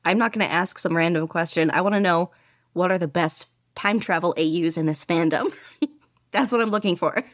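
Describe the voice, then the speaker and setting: A woman's voice: on the low side, a little fast, no echo, studio quality. A young woman guest on a podcast, talking casually and rambling with lots of "like" and small laughs. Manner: rambling, casual, many "like" fillers, giggly. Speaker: podcast guest, fan fiction writer. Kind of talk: casual interview. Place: bedroom at home.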